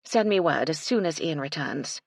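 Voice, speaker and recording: Female narrator as English mistress, calm, firm, kindly, husky, audiobook character. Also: low-pitched, moderate pace, no room sound, clean studio sound, exaggerated voice. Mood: firm, reassuring. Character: composed, kindly but firm English lady in charge.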